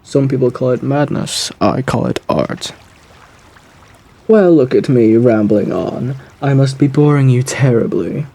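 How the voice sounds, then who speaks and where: Male actor as suave woodland villain, gravelly and mellow, genteel menace, theatrical phrasing, ASMR audio roleplay. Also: mid-range voice, animated, a little slow. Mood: sinister, enraptured. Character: suave, sinister gentleman predator lurking in dark woods, theatrically menacing.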